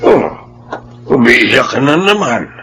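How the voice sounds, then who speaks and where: Gravelly, scornful old father. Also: medium pitch, very animated, takes it slowly, very noisy. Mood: suspicious, irritated. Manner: gruff, sneering. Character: bitter, scornful old father scorning his son-in-law. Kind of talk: Filipino radio drama acting.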